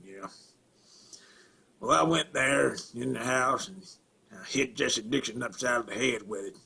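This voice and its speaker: Gravelly, slow Southern simpleton. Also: male voice, bright, forceful tone, heavily acted. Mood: grim, matter-of-fact. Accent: rural Southern US. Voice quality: low gravelly rasp with throaty grunts. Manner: halting, repetitive, plainspoken, folksy. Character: slow, simple-minded Southern man confessing to a killing. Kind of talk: dramatic film monologue.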